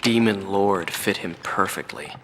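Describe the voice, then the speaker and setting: A jaded mercenary pilot reminisces in a video game interview scene. His voice is extremely deep, with a measured, reflective delivery. Noticeable ambient vehicle noise runs behind him. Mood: reflective, admiring. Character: jaded male mercenary pilot recalling a legendary wingman. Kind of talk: dramatized interview monologue.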